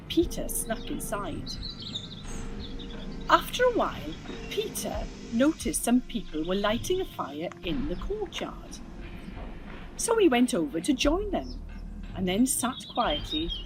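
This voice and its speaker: Animated, higher-pitched woman reading a story. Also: poor audio. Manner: animated, dramatic reading with acted dialogue. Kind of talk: expressive children's story narration.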